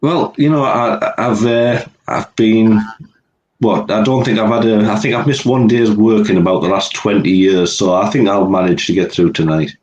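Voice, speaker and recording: A man's voice: on the low side, moderate pace, darkish tone, dull audio. Man with a head cold, chatty. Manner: chatty, laughs easily, self-mocking. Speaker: podcast co-host. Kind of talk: casual chat over video call. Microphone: video call.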